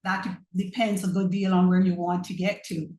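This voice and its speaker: A Barbadian woman reading the replies of a calm, riddling storybook cat in a matter-of-fact way. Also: on the low side, normal speed, far from the mic, close to an everyday voice. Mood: wry. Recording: roomy echo.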